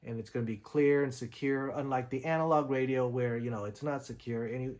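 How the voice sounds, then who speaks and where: A man's voice: medium pitch, steady tone, a little fast, darkish tone. A man reviewing gear in a casual, conversational and slightly rambling way, explaining things as he goes. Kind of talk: casual product demo talk.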